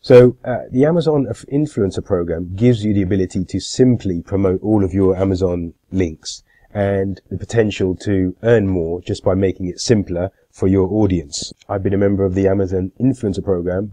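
A man's voice: sits low, fairly even intonation, moderate pace, mellow, dry recording. A middle-aged man with a cold, giving a screen-share tutorial in a plain, matter-of-fact way with frequent "uh" fillers. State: has a cold, congested. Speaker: marketing YouTuber.

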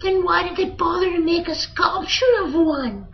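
A woman doing a squeaky childish monkey. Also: moderate pace, a little hiss or hum. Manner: impulsive, whiny, easily distracted. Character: childish excitable young male monkey. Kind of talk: amateur old-time radio parody. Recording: muffled lo-fi audio with music.

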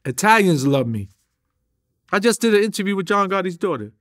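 A middle-aged man with a New York accent talks in an emphatic, streetwise way, packing his speech with slang. His voice sits a little higher than most men's, and he gestures as he tells his stories as an interview guest. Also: big pitch swings, fairly quick, fairly bright tone. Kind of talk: animated street storytelling. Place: indoor studio with a drum kit.

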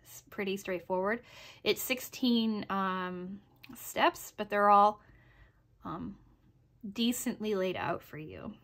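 A woman with a slightly hoarse voice, giving a casual, chatty craft review with hesitant phrasing and little check-ins. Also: mid-range voice, slow, fairly bright tone. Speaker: sewing pattern reviewer. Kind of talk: casual review narration over project photos.

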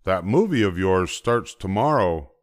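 A man voicing a calm papa bear father who explains things reassuringly in a storybook reading. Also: low voice, a little slow. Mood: easygoing.

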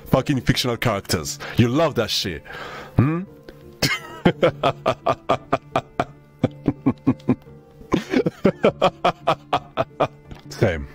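A man's voice: medium pitch, huge pitch swings, very slow, pauses often, soft background music. A young man from Senegal, raised in France, chatting casually with his stream viewers in a laid-back, slangy way, swearing freely. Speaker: VTuber streamer.

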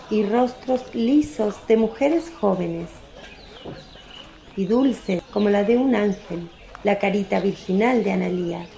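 A woman narrating a religious radio drama from a script, with a solemn, deliberate literary delivery. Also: mid-range voice, vocal fry, warm tone, roomy sound.

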